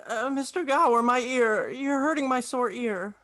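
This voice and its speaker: A woman's voice: high-pitched, moderate pace, fairly bright tone. A woman playing an earnest, pleading young boy in an old-time radio drama. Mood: pleading, frightened. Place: remote video call recording.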